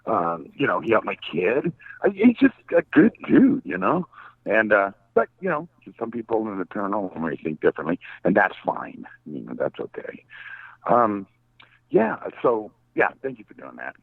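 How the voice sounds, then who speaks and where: Animated man on a tinny phone line. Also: fairly low pitch, normal speed, gravelly, slightly rough, no room sound. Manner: rambling, animated, joking. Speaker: guest paranormal investigator. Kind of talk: casual radio interview.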